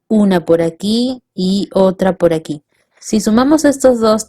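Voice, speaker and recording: A woman's voice: on the low side, dark tone. Patient woman teaching a math lesson. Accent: Peruvian Spanish. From Peru. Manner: slow, explanatory, reads problems aloud then works them through. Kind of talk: step-by-step problem solving lesson.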